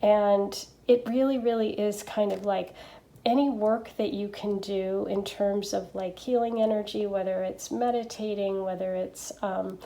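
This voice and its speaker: A woman with a stuffy nose from allergies, chatting gently through a tarot reading with lots of fillers and little checks. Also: mid-range voice, fairly even intonation, a little slow. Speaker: tarot reader. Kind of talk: casual pick-a-card tarot reading.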